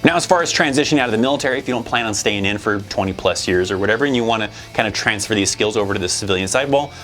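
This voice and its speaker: A man with a fairly low voice talks very quickly as he hosts an informational explainer. His delivery is conversational and addressed straight to viewers, with faint music underneath. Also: fairly bright tone. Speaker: video host.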